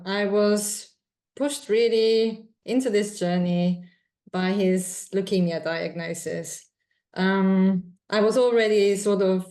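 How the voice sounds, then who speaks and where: A woman from Austria with an Austrian accent, speaking as a guest nutritionist in a calm, measured and reflective way. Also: mid-range voice. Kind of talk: interview answers.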